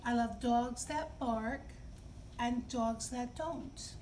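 A middle-aged woman hosting story time, speaking to young children in simple, expressive phrases. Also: on the high side, takes it slowly. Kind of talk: read-aloud and talk for young children. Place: indoor library children's room. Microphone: camera a few feet away. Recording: distant camera mic, clear background noise.

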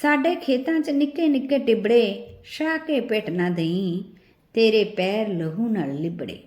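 A woman's voice: mid-range voice, unhurried, darkish tone, lightly acted. A woman voicing a defiant village poet in an audio story, reciting rhythmic challenging verse. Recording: roomy, echoing voice recording.

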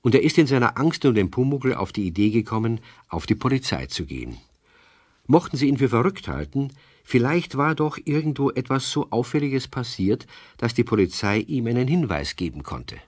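A man's voice: mid-range voice, big pitch swings, moderate pace, a little mellow, husky, no echo. A man narrating a children's radio play as a scripted storyteller. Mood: storytelling.

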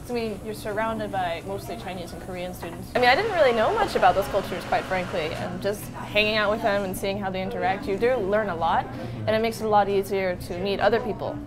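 A woman in her twenties, a former exchange student, talking in a relaxed, chatty way. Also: medium pitch, quick pace, over music, rough recording. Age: 20s. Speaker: former study abroad student. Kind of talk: casual interview talk. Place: small shop, indoors.

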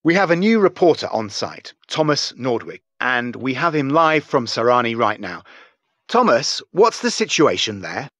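A man's voice: mid-range voice, very animated, a bit bright, dry recording. Formal male news anchor, dry studio. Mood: composed. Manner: formal, measured. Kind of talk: scripted newscast in a game cutscene.

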